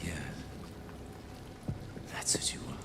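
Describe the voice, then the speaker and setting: An actor playing a smug, menacing Nazi interrogator, soft-spoken, amused and deliberate, in a war game. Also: male voice, in a whisper, natural voice. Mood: mocking, menacing. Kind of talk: cinematic war game acting.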